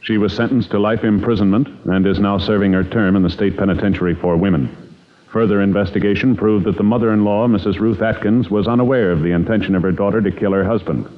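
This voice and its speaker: An American man announcing a scripted 1950s radio programme in a formal, solemn, measured way. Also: on the low side, fairly even intonation. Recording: muffled old radio broadcast, loud music.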